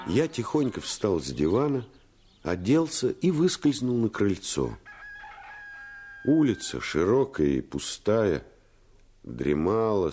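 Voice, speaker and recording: An actor playing a storyteller who recounts a boyhood adventure, in expressive, literary radio-play narration. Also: male voice, a bass voice, exaggerated voice.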